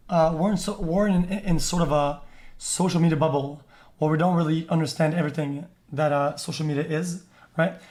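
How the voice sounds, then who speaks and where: A man's voice: on the high side, a little mellow. A young man streaming a casual reaction, blunt and crude, rambling with many restarts.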